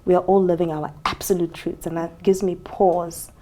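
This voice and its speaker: A woman from Zambia talks as a guest on an interview show. Her voice is somewhat low and mellow. She speaks reflectively and expressively, with frequent fillers. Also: fairly even intonation, moderate pace.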